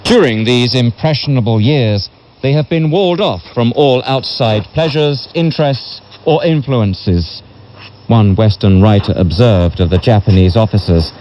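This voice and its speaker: A man reading a history book as a narrator in a measured, solemn way, heard over a shortwave radio broadcast. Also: mid-range voice, darkish tone, lo-fi sound. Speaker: audiobook narrator. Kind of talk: scripted literary history narration.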